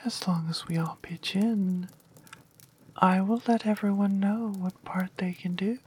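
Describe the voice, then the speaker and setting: A woman voicing a kind young princess in a soft whisper. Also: mid-range voice, steady tone, a little slow, husky. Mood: gentle.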